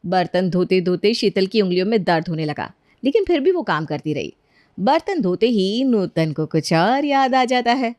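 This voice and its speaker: A woman's voice: medium pitch, big pitch swings, moderate pace, a little mellow, no room sound, studio quality. A woman narrating a scripted cartoon bedtime story as the storyteller.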